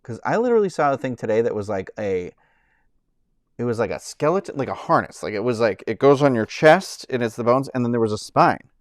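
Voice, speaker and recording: A man in his thirties chats loosely to his live-stream viewers. His talk wanders, full of "like" and "um" and sentences he starts over. His pitch sits in the middle for a man, and the sound is dry and close, with no room echo. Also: lively intonation, brisk. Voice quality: says he has a high-pitched voice. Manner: rambling, many fillers and restarts. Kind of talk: casual live-stream chat.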